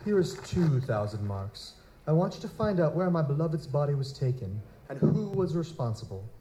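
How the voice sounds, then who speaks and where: An actor playing a courteous, grieving young nobleman in a live audio drama, speaking in formal, deliberate aristocratic phrases. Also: on the high side, sing-song, mellow, a little hiss or hum, low-quality recording. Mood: solemn, determined.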